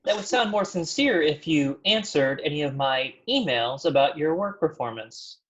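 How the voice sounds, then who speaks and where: A man's voice: fairly high pitch, animated, normal speed. A man acting a stern, blunt thirty-year-old efficiency expert in a comedy table read, scolding in a dry manner. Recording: dull, lo-fi sound.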